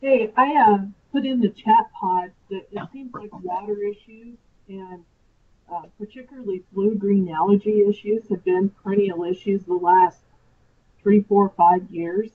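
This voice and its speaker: A woman's voice: medium pitch, fairly even intonation, measured pace, slightly rough. A woman speaking informally as a caller on a work conference call. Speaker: caller, extension staff. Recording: tinny phone-like line, faint noise.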